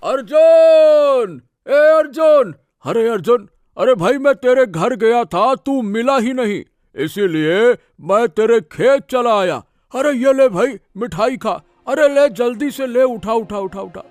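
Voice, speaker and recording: Excitable older neighbor for a cartoon folk tale, played by a man: jolly, exclamatory, raised high pitch. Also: takes it slowly, few pauses, no room sound, clean studio sound, exaggerated voice. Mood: eager, insistent. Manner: insistent, chuckling, exclamatory.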